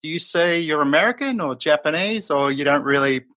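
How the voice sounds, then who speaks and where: A man's voice: on the high side, expressive, fairly quick, pushed and bright. A man hosting a podcast, reading a friendly introduction and then asking his guest questions. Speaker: podcast host.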